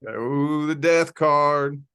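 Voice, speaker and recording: A man's voice: on the high side, fairly bright tone, smooth. A man from the Indiana and Kentucky border with a Southern accent, a guest giving a folksy, reassuring tarot reading on a video call. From Southern Indiana near Louisville, Kentucky. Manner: folksy, reassuring, drawn-out emphasis. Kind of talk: spiritual conversation and tarot reading.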